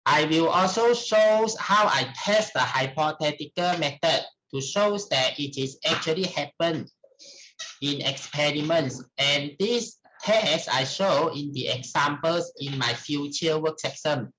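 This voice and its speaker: A middle-aged man with a Malaysian accent gives a formal academic presentation in a halting, deliberate manner. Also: a little high, pushed and bright, slight background noise, poor audio. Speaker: researcher presenting at a conference.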